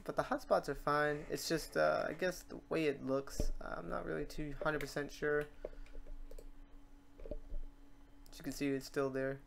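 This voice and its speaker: A man hosting an off-the-cuff software tutorial, informal and rambling, muttering to himself as he works. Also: on the high side, steady tone, measured pace, vocal fry, long pauses, a little hiss or hum. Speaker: tutorial host.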